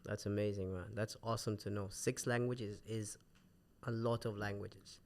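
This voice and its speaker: A man's voice: lowish voice, steady tone, normal speed. A man hosting a podcast, welcoming his guest and asking questions in a friendly, encouraging way. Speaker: podcast host. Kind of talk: casual interview questions. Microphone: studio podcast mic.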